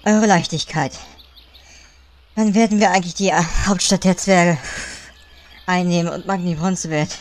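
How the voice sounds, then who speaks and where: Woman as a low-voiced, fawning gnome advisor. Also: fairly even intonation, moderate pace, pauses often, lightly acted. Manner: obsequious, hesitant, pompous. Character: fawning, whiny male gnome advisor, puny and cheeky. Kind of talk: comic audio play acting.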